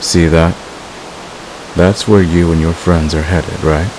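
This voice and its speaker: A man playing a smug, seductive fantasy villain who is secretly in love, in an intimate, teasing and unhurried audio roleplay. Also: low voice, fairly even intonation, background noise. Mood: cool, detached. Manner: teasing, unhurried, murmuring to a lover. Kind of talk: romantic audio roleplay, intimate ASMR acting.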